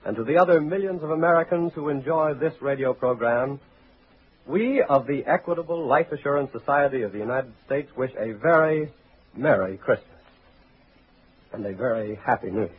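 A man announcing in the scripted 1940s radio style, formal, measured and declamatory, as a sponsor's spokesman. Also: medium pitch, slightly rough. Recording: tinny, faintly noisy 1940s radio recording.

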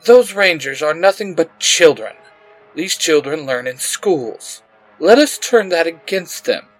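A man's voice: a little high, expressive, measured pace, soft background music, voice pushed up, rough recording. Male reader as a scheming villain lord, hissing and commanding, projected. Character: hissing evil male cyber-realm lord.

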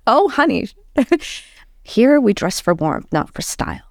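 Middle-aged woman, casual talk, gravelly texture, acts out quoted voices. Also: fairly high pitch, very animated, slow pace, no room sound, clean studio sound. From Canada. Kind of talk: casual conversation.

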